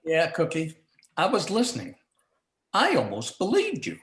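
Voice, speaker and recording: A man's voice: a little high, huge pitch swings, normal speed, background noise. A man playing a crooked police chief in a noir radio play, with a clipped, businesslike manner. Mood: conspiratorial. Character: crooked police chief, curt and smooth-talking schemer. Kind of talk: 1940s noir radio acting, live community theatre. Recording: roomy, echoing live stage recording.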